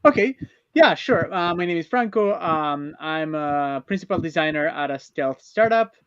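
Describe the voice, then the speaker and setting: A man from Argentina hosts an online panel in a halting way. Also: on the high side, animated, a bit bright, clear, resonant tone, dry recording. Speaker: panel host. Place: converted school bus, video call. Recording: muffled lo-fi online call.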